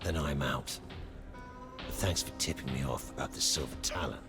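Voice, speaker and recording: Very deep-voiced gruff bounty hunter. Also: male voice, normal speed, over music. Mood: defiant. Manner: terse, blunt, hard-boiled. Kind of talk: video game cutscene acting.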